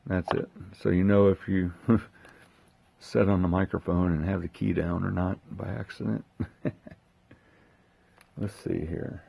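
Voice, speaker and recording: A man giving a casual hands-on demonstration, thinking aloud in an unhurried way as he handles the gear. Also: low voice, fairly even intonation, gravelly, warm tone, slightly rough. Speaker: host of a gear unboxing and testing video.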